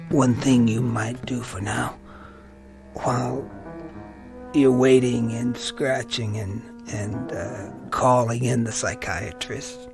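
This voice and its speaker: An actor playing a tormented, bitter young army lieutenant in an intense radio drama style. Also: male voice, medium pitch, creaky. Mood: breaking down, desperate. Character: tormented young army lieutenant who sees death on faces. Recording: radio drama with music underneath.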